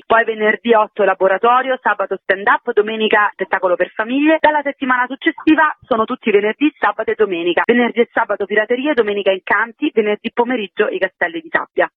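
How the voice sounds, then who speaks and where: A woman's voice: mid-range voice, no echo. A woman, a festival curator, giving programme details in a radio interview. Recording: tinny phone line on radio.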